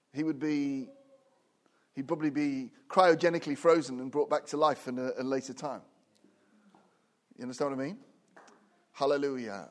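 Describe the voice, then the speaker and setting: A man in his fifties, a guest preacher in a small church, speaking with jovial asides and growing animated as he preaches. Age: 50s. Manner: animated, rising loud and high when preaching; hushed, soft prayer. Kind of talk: sermon. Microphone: own recorder, no microphone.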